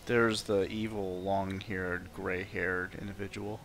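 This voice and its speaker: A man's voice: low voice, flat delivery, slow. A man giving casual, informal playthrough commentary as a game streamer, with some swearing. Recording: game music behind the voice.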